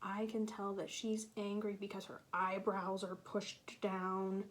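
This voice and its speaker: A woman teacher reading a picture book to young children, explaining patiently and pausing to ask them questions. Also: mid-range voice, flat delivery. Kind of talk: children's read-aloud with questions to listeners.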